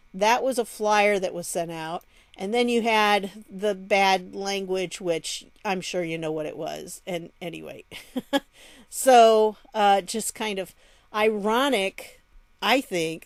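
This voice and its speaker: A woman's voice: mid-range voice, darkish tone, no room sound. Sardonic woman commentator, dry close sound. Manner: conversational, sardonic, rhetorical questions. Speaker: vlog host and commentator. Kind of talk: casual news commentary, reading statements aloud.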